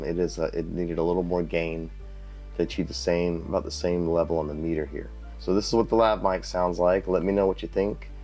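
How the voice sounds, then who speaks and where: A man with a very low, deep voice talks through a casual video tutorial in a plain-spoken way, often repeating himself for emphasis. He speaks into a lav mic at a home desk, with faint music in the background. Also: a little mellow. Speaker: YouTube creator teaching video basics. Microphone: lav mic, wired then wireless.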